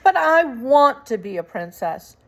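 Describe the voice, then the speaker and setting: Lady doing a simple servant girl in a kids story, kinda whiny n stubborn, rustic talk, higher voice. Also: very animated, measured pace, fairly bright tone, full character voice. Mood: pleading. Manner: rustic, ungrammatical, plaintive speech. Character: simple, hurt, stubborn young serving girl. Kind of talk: children's storybook read aloud.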